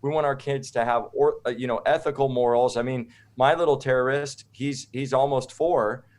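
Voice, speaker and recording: A man's voice: medium pitch, steady tone, normal speed. A man from the United States speaking as an interview guest, informal, opinionated and rambling. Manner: informal, rambling, checks in with "right?".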